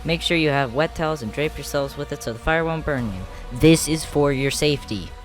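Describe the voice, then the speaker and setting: A man's voice: fairly high pitch, a little fast, pitched above the performer's own voice, close to an everyday voice. A man voicing anxious, alarmed male gang members in a comic dub, urgent and exclamatory. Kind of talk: comic dub character acting. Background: music.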